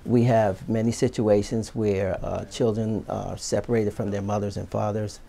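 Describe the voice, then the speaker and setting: A man with a low, gravelly voice explains his clinical work with children. He talks in an explanatory interview style, as a clinician would. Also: level tone, normal speed, mellow.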